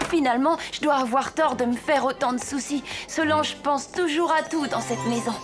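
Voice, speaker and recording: A woman voicing an earnest, kind and rather anxious young boy, an apprentice Santa in an animated cartoon. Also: high-pitched, normal speed, natural voice. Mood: reassured. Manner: earnest, expressive. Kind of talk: animated cartoon voice acting in French. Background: loud background music.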